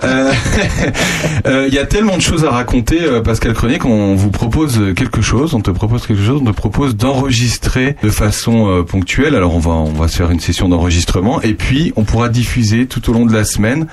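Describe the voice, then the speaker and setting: A man's voice: medium pitch, animated, fairly quick, darkish tone, a little hiss or hum. A man hosting a radio show in a casual, jovial and chatty way, speaking off the cuff. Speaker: radio host. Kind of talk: casual unscripted radio talk.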